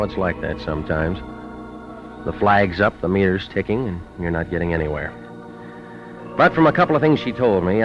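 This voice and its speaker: A muffled old radio recording with music behind it features an actor as a hard-boiled private detective. He is very low in pitch, deadpan and cynical. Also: close to an everyday voice. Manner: deadpan, clipped, sardonic. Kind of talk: 1940s radio noir acting and narration.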